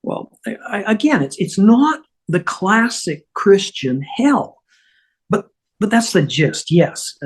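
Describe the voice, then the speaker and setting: A woman reading the part of a flustered, apologetic male otherworldly schemer, husky and halting as she stammers through explanations. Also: medium pitch, big pitch swings, exaggerated voice. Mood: resigned, apologetic. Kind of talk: amateur script table read.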